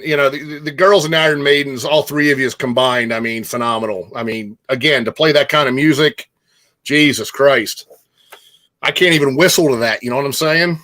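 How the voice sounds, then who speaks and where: A man co-hosting a casual chat show, speaking informally in a halting but emphatic way. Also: on the high side, sharp tone. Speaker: show co-host.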